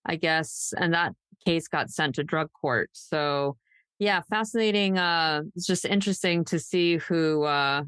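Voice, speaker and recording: A woman's voice: low-pitched, a little slow, a bit bright, clear, resonant tone, no echo. A woman hosting a true crime podcast in a casual, loosely unscripted, conversational way. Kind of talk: casual co-hosted podcast chat.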